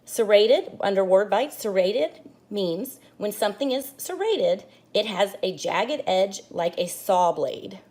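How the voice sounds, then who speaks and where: A woman's voice: medium pitch, slow. A woman teacher reading a children's book aloud, explaining pages to young students as she goes. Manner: expressive storybook reading with asides to kids. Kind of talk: children's read-aloud lesson.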